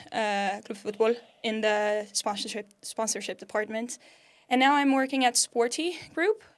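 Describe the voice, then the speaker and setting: A young woman in her twenties with a Portuguese accent, chatting as a podcast guest in an upbeat way, laughing often. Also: fairly high pitch. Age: 20s. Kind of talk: casual interview chat.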